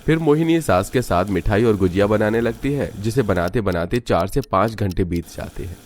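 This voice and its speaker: A man's voice: fairly low pitch, lively intonation, moderate pace, darkish tone, slight background noise. A man reading a scripted story as its narrator.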